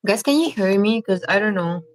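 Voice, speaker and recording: A woman's voice: medium pitch, expressive, a little fast, poor audio. A woman from Egypt hosting a casual live-stream Q&A, chatting and reading viewers' comments aloud with lots of fillers. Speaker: vlog host.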